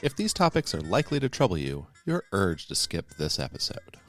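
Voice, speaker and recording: A man reading scripted horror narration as a podcast host, in a measured, deliberate and formal manner. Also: low voice, wildly swooping pitch, soft background music.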